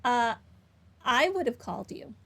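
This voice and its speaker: Higher-voiced woman doing game commentary. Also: very animated, a little slow, creaky, noisy background. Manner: chatty, reacting aloud to the game. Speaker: gaming video host. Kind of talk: casual playthrough commentary. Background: game music.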